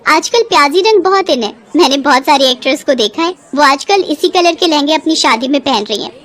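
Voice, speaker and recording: A woman's voice: high-pitched, lively intonation, a little fast, fairly bright tone. A woman playing an eager, chatty young daughter-in-law in a family story, with a bubbly, obliging manner. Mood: chatty, excited.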